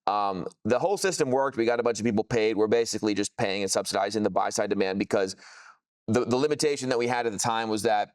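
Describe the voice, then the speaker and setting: A man with a smooth, fairly low voice presents a software product informally. The sound is dry, with little room in it. He explains in a casual way, leaning on technical jargon. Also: quick pace, no echo.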